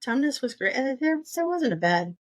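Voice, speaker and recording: A woman's voice: high voice, sing-song, moderate pace, no room sound. Woman thinking aloud, close dry sound. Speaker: book podcast co-host. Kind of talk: casual book discussion chat.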